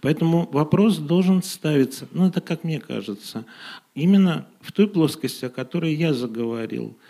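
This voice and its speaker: Gravelly, husky-voiced man arguing firmly. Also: fairly high pitch, expressive, normal speed, warm tone. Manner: firm, declarative, polemical. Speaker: teacher. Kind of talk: panel discussion. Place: gymnasium hall in front of an audience.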